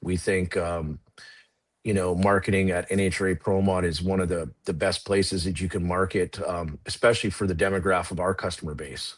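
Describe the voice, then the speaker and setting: A man answering interview questions at a measured pace, pausing with frequent fillers. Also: low voice, steady tone, no room sound. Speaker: guest, series sponsor.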